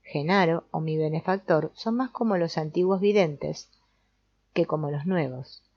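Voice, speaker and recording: A woman reading an audiobook as narrator in a measured, explanatory way. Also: low-pitched, a little mellow. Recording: dull, lo-fi sound.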